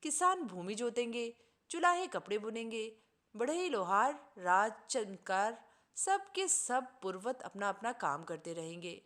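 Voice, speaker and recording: A woman's voice: a little high, very animated, unhurried, a bit bright. A woman voicing an indignant, idealistic man in a storybook reading, speaking in an earnest, formal, oratorical way. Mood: earnest, reasoning. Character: idealistic, indignant man preaching justice and selfless service.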